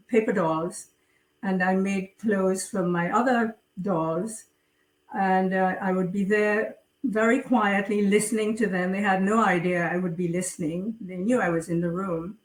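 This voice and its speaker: A middle-aged woman from Trinidad, an author talking about her writing in an interview, slowly, with many fillers and pauses. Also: mid-range voice. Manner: slow, hesitant, many fillers. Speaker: guest author. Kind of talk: interview conversation. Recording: roomy, distant from mic.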